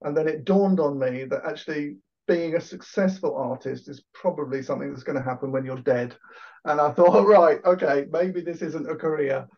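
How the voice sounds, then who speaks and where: A man's voice: on the high side, steady tone, moderate pace, dull audio. An Englishman talking casually as an interview guest on a video call, chatty and self-deprecating with lots of fillers. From England.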